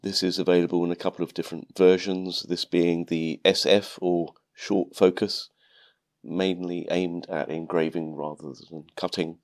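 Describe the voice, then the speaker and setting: A man narrating a calm step-by-step tutorial, sounding nasal from a cold. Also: low-pitched. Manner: calm, methodical explaining. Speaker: maker channel host.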